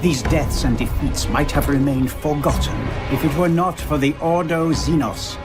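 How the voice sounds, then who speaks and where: A man playing a brooding, calculating inquisitor who narrates a video game cutscene in a grave, measured, ominous way. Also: on the high side, over music, close to an everyday voice. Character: brooding male inquisitor, patient, calculating chronicler of war. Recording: loud soundtrack under voice.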